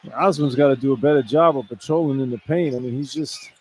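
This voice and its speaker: A man's voice: mid-range voice, quick pace, darkish tone, low-quality recording. An American man working as a radio color analyst, explaining basketball plays in an informal, conversational way. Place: courtside in an indoor arena. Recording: clear background noise, live arena broadcast.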